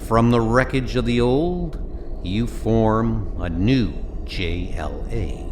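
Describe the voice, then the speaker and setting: A man playing a smug, cold-blooded billionaire villain in a comic book audio drama, deliberate, sardonic and menacing. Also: fairly low pitch, background noise. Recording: music under the voice. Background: music.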